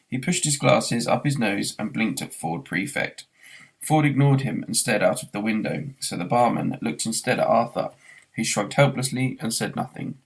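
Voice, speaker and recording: A man's voice: medium pitch, vocal fry, husky, poor audio. A man reading a story aloud as scripted narration. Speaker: reader, schoolteacher.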